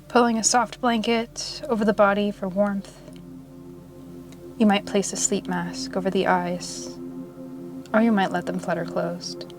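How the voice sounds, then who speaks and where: A woman leading a guided yoga meditation in a soothing, measured way with long pauses. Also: medium pitch. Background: meditation music.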